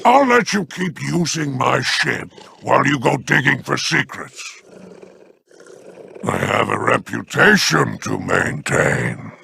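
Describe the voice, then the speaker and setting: An actor playing a sly, smug alien crime lord in a video game, with a gravelly, drawling, theatrical delivery. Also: male voice, mid-range voice, sing-song, slow, sharp tone, slight background noise, heavily acted. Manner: wheedling and theatrical.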